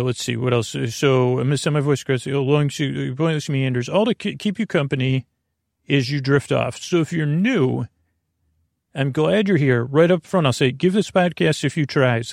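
A podcast host talks in low, creaky, lulling tones, drifting through pointless tangents and halting restarts. His voice sits at an ordinary middle pitch with plenty of vocal fry. The recording is dry and close, with no room sound. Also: no room sound. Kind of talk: rambling sleepy-time podcast talk.

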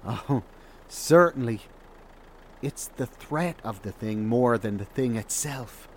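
An actor playing a blunt, world-weary, defiant survivor in a naturalistic horror audio drama. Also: male voice, medium pitch, lively intonation, takes it slowly, a little mellow, slight background noise. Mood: wary, wry. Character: jaded, defiant lone male survivor in a horror apocalypse.

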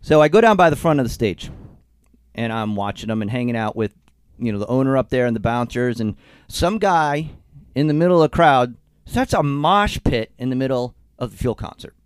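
A man's voice: medium pitch, brisk, clear, resonant tone, dry recording. A man co-hosting a podcast from a studio, a bit sick and congested. He tells a story with lots of rise and fall in his voice, laughing as he goes. The sound is close and dry. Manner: animated storyteller, laughs while telling. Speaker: co-host. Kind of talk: casual storytelling chat. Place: recording studio. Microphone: studio mic.

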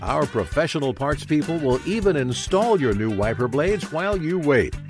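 A male announcer reads an ad in a polished, upbeat style. His voice is a bit high and very lively. Music plays clearly behind him. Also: moderate pace. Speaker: commercial announcer. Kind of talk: scripted advertisement read.

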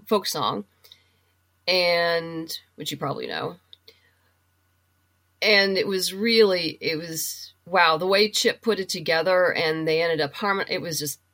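A woman's voice: on the low side, a bit bright. A woman talking casually and enthusiastically as a guest in an interview, in a chatty way. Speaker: guest, writer and audio drama producer.

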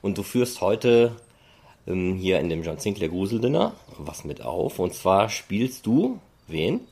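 A man's voice: low voice, very animated, unhurried, gravelly, mellow, slightly rough. A man hosting a fan podcast, interviewing people in an informal, chatty way.

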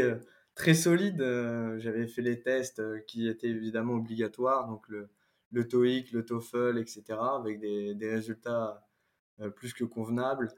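Young Frenchman chatting, halting with fillers. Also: medium pitch. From France. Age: young adult. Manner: halting, frequent "euh" fillers, occasional laughs. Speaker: former exchange student. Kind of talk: casual podcast conversation.

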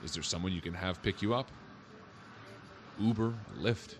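A man acting a doubting police officer in an audio drama, wry and placating. His voice sits fairly low, with casual, questioning delivery over faint background noise. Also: normal speed, close to an everyday voice. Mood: impatient, relenting.

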